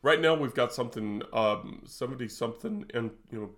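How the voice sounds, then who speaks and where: A man's voice: medium pitch, a little fast, a bit bright. A man co-hosting a podcast, talking casually with frequent hesitations and restarts. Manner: rambling, hesitant, many fillers and restarts. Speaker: podcast co-host. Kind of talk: casual conversational podcast chat.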